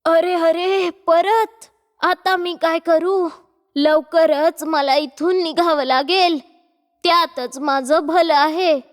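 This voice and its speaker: A woman voicing a bossy, nagging middle-aged mother-in-law in a cartoon story, with a sharp manner. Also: very high-pitched, steady tone, takes it slowly, long reverb tail. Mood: panicked.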